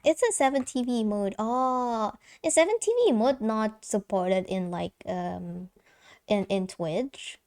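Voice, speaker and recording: Young woman streamer, light soprano voice, chatty and giggly, talks slow and stumbles over words. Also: medium pitch, a little mellow. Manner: chatty, giggly, halting with many fillers and restarts. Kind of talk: casual live-stream chat.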